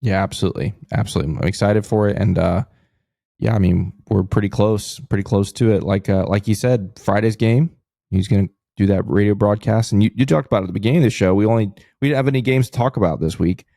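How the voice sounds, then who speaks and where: A man's voice: lowish voice, fast talker, creaky, warm tone, dry recording, clean studio sound. An American man chatting casually as co-host of a sports podcast.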